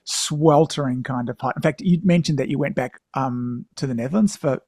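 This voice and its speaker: A man's voice: medium pitch, moderate pace, darkish tone, no echo, studio quality. A man hosting a podcast, chatting casually and laughing along with his guest. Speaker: podcast host. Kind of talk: casual interview chat.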